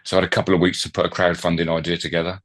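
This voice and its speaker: A man with a notably deep, low voice speaks as a podcast guest. He talks in a relaxed, conversational way, often pausing on fillers and restarting his sentences. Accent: non-local, not from Swansea. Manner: hesitant, many fillers and restarts. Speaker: podcast guest, newspaper founder. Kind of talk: casual conversational interview.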